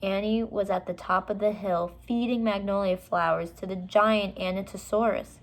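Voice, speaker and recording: A woman reading a children's story aloud, with expressive, deliberate and dramatic narration. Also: mid-range voice, poor audio.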